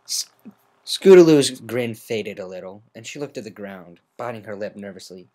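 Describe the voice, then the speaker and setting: A woman's voice: a bass voice, lively intonation, normal speed, a little mellow, rough recording. A woman narrating fan fiction as an amateur, in a semi-dramatic storytelling style.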